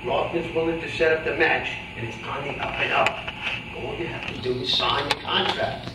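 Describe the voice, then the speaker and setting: A man's voice: a little high, fairly even intonation, normal speed, close to an everyday voice. Man playing a boxing manager, distant and echoey. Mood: upbeat, businesslike. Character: boxing manager, male, encouraging, businesslike. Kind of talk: amateur staged play reading. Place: echoey indoor room, staged reading. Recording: distant echoey room, clear noise.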